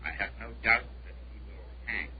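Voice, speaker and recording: An actor playing a cold, formal official delivering grim news in old radio drama style. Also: male voice, lowish voice, fairly quick, natural voice. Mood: cold, regretful. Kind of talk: 1940s radio acting. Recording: dull old radio recording.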